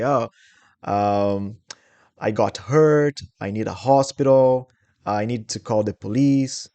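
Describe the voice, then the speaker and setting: A young man with a Brazilian Portuguese accent teaching English travel phrases slowly and clearly, repeating each model sentence. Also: mid-range voice, mellow. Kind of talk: English lesson.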